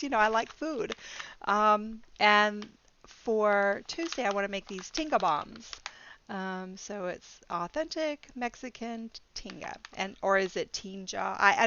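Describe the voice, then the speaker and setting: A woman's voice: mid-range voice, fairly bright tone, dull audio, slight background noise. A middle-aged woman vlogging casually about her budget, rambling a little with frequent ums and restarts and laughing easily. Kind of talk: casual talk to camera.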